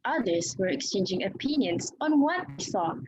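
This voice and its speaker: A woman reading scripted narration for a dramatic reading, as an expressive storyteller. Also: mid-range voice, normal speed, poor audio. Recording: clear background noise.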